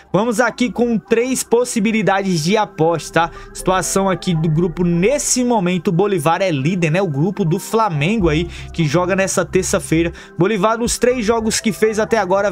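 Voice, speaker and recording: A man with a Brazilian Portuguese accent, a football betting tipster talking viewers through his picks in an upbeat, chummy way. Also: high voice. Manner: upbeat, chummy, calls viewers "rapaziada" and "família". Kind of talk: scripted tips over screen recording. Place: voice-over for a screen recording.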